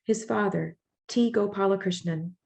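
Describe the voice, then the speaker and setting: A woman's voice: medium pitch, normal speed, gravelly, darkish tone. A woman author with a Midwestern accent, speaking casually at an online library event and then reading from her stories, with some fillers and restarts. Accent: Midwestern American. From northeast Ohio.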